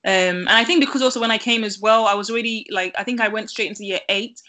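A woman's voice: fairly high pitch, fast, sharp tone. A woman from Nigeria, a Yoruba speaker, chatting casually as a guest and rambling with many restarts. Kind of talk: casual chat.

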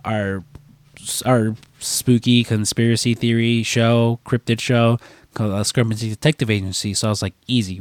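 A man's voice: fairly low pitch, dry recording. Rambling young man with a stuffy cold. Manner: rambling, heavy fillers and swearing. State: congested from a cold. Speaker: podcast co-host. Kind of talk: casual chat.

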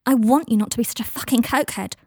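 A woman's voice: on the high side, quick pace, mellow, husky, no room sound, clean studio sound, pitched above the performer's own voice. Woman acting a hurt, exasperated young man, emphatic. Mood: angry, pleading. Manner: emphatic, accusing, pleading.

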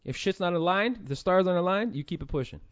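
A man's voice: high voice, animated, fast talker, muffled recording. A man co-hosting a casual podcast, joking around and swearing freely. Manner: joking, brash, profane. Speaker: podcast co-host.

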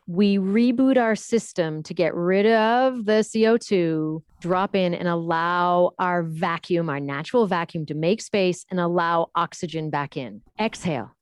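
A woman talks through how the voice works like a coach. She explains patiently and jumps her pitch high or low to demonstrate. The sound is dry, with no room echo. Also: unhurried, hardly any pauses, clear, resonant tone. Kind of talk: explanatory interview talk with vocal demonstrations.

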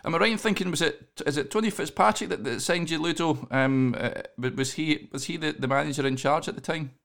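A man interviewing casually, phrasing his questions in a hesitant, halting way. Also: a little high, creaky, a bit bright.

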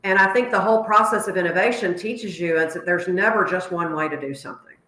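A middle-aged woman coach speaks with a bright, forceful edge and a fairly low pitch. Her voice comes over a video call from a roomy, echoing space. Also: quick pace. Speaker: guest, fitness business coach. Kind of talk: conversational interview answers. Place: open space by a pool in Florida.

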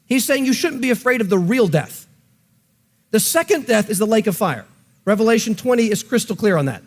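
A man teaching the Bible conversationally and emphatically, repeating phrases to drive his points home and reading passages aloud. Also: sits high. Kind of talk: conversational Bible teaching with read-out scripture and quotations.

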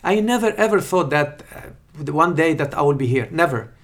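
A man's voice: a little high, expressive, a little fast, fairly bright tone. A middle-aged man with a Lebanese accent giving earnest, emphatic advice as an interview guest, often repeating phrases for weight. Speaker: podcast guest, surgeon and professor. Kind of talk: interview answers with personal anecdotes and advice.

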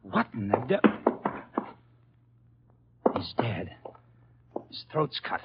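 An actor playing a wisecracking detective's assistant and narrator, breezy, slangy and punchy in a 1950s hardboiled radio style. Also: male voice, on the low side, very animated, extremely slow, creaky, very noisy, full character voice. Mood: urgent. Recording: muffled vintage radio with music.

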